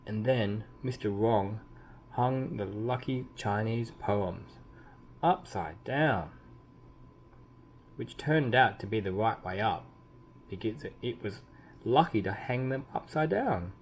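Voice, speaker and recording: A man with a fairly low voice reads a picture book aloud for children. His delivery is expressive and deliberate, stretching out key words for emphasis. There is some noise behind the recording. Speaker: children's storybook reader. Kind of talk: expressive read-aloud storytelling. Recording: clearly audible background noise.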